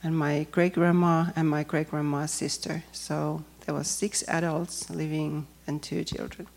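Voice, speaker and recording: A woman from Finland with a Finnish accent, speaking English as a second language and giving a personal testimony in a careful, hesitant way with frequent pauses. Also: sits low, little pitch movement, creaky, darkish tone. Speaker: church member giving testimony.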